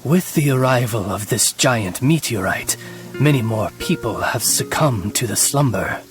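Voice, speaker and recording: Guy voicing formal raven butler type for a game, really unhurried and wordy, music clearly playing behind. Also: mid-range voice, expressive, natural voice. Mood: solemn, dignified. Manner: courtly, ornate, measured diction. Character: formal, loyal male raven servant to a young lady. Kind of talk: video game voice acting.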